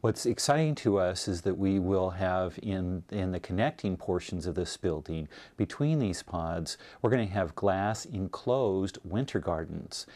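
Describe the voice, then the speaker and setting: Very deep-voiced man giving a presentation. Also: expressive, measured pace, few pauses, a little mellow. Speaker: college science faculty presenter. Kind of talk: informative presentation to viewers.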